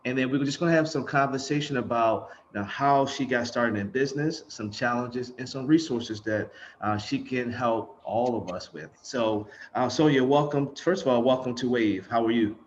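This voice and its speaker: A man's voice: mid-range voice, normal speed, hardly any pauses. Laid-back male podcast host, lo-fi sound. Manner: relaxed, repeats "good deal" often. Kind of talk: casual interview. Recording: dull lo-fi with faint noise.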